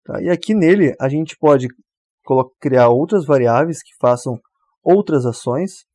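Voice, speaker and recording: A man explains code in a relaxed, mellow voice. He walks through each step and keeps checking in with the listener. The sound is dry and close, with no room around it. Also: mid-range voice, unhurried, no echo. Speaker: programming tutor. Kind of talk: screen-recorded coding tutorial.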